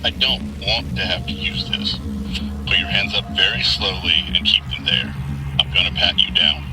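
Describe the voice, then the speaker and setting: A man's voice: very deep voice, animated, moderate pace, over music, poor audio. An actor playing a menacing, commanding armed man posing as a park ranger in an audio drama. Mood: quiet menace. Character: menacing impostor park ranger holding a pistol.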